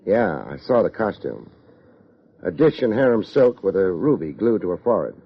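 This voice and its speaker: An actor playing a tough, wry American private investigator in a 1950s radio drama, terse, slangy and deadpan. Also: male voice, low voice, moderate pace, breathy edge, heavily acted. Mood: brisk questioning. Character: tough, wry male private investigator narrating his case. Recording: dull old radio recording, music behind.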